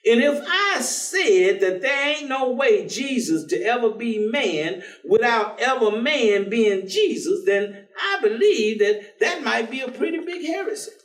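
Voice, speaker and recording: High, slow, folksy man of faith played onstage. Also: lively intonation, fairly bright tone, heavily acted. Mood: earnest, preaching. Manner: folksy, colloquial, drawn-out delivery. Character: devout, folksy, teasing man, warm and stubborn. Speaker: actor. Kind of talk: staged reading of a play. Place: indoor theatre stage. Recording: roomy theatre, distant microphone.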